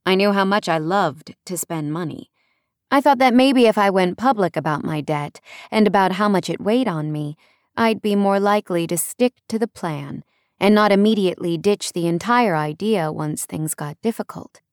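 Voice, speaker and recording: A woman's voice: medium pitch, normal speed, no echo, studio quality. A woman narrating a first-person nonfiction audiobook in a conversational way, leaning on key words for emphasis.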